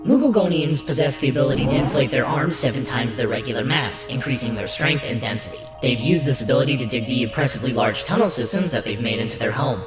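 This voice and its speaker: Roomy-sounding narrator over loud music. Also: medium pitch, animated, quick pace, few pauses. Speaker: narrator and show host. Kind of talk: scripted documentary-style narration. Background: loud background music.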